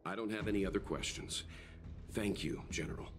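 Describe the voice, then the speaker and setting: An actor playing a polite, reserved and serious traveling companion in a video game, with a courteous, formal, composed manner. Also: male voice, fairly low pitch, brisk, close to an everyday voice. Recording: game music behind.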